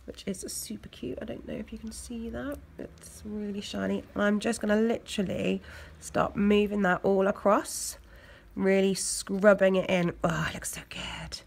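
A woman with an English accent, hoarse from a cold, chatting casually as she talks through a nail art tutorial. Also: mid-range voice, fairly even intonation, normal speed, hardly any pauses. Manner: chatty, loose, lots of fillers. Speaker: nail technician. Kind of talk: step-by-step tutorial narration.

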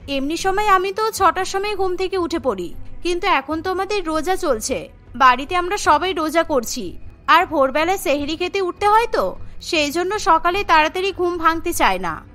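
A woman voicing a gentle young daughter-in-law who explains patiently and apologetically in a cartoon story. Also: extremely high pitch, expressive, moderate pace, faint music behind, exaggerated voice. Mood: apologetic, patient.

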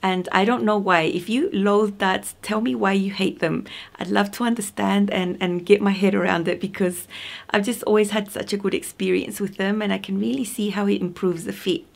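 A woman in her forties with a croaky, sore voice, chatting cheerfully to the camera in her sewing room. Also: medium pitch, steady tone, normal speed. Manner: cheerful, chatty, laughs often. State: hoarse, sore voice. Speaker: sewing vlogger. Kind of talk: casual vlog chat. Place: home sewing room, indoors.

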